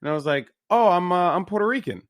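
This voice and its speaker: A man's voice: fast talker, dry recording. A young man from New York, a podcast co-host, telling a story casually and animatedly and lifting his voice when he quotes people. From New York, USA. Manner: animated storyteller, raises pitch when quoting others. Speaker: podcast co-host, esports commentator.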